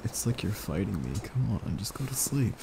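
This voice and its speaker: A young man performing soft, intimate ASMR as a gentle boyfriend, speaking in a hushed, tender, coaxing way. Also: lowish voice, very animated, moderate pace. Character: gentle protective boyfriend soothing partner to sleep. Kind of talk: soft intimate ASMR sleep-aid roleplay. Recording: clear background noise, ambient hiss.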